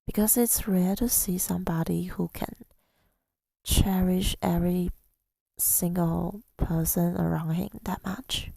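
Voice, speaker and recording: Very slow, creaky, soft-spoken ASMR woman. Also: on the low side, steady tone, dry recording. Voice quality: soft, breathy, often near-whispered. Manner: hesitant, halting, reflective delivery. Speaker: ASMR creator. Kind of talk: ASMR personal storytelling.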